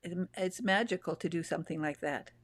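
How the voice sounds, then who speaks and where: A woman's voice: fairly low pitch, a little fast, no echo. Chatty woman host on a dry mic. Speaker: show host. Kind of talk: casual interview chat. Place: home in Texas. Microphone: video call.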